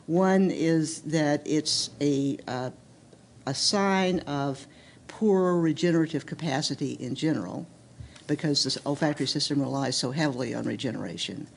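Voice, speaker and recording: Gravelly-voiced woman researcher, halting answer. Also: low voice, a little hiss or hum. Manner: careful, halting, with fillers and restarts. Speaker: smell researcher on a panel. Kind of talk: panel answer to a physician's question. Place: hospital symposium hall.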